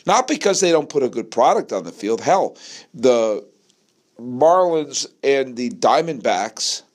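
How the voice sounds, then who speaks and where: An American man from New England giving an opinionated solo sports monologue, speaking emphatically and repeating phrases for effect. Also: mid-range voice. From New England, United States. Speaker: sports podcast host.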